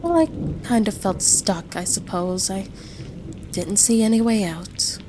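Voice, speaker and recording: A woman playing a caring, motherly American wife in a roleplay audio drama, gentle and reassuring, full of endearments. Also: mid-range voice, expressive, measured pace, noisy background, close to an everyday voice. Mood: reflective.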